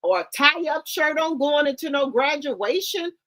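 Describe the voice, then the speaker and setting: A woman's voice: sits high, animated, a little slow, pushed and bright. A middle-aged woman hosting casual commentary, blunt and lecturing, mixing slang into her talk. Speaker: commentary host.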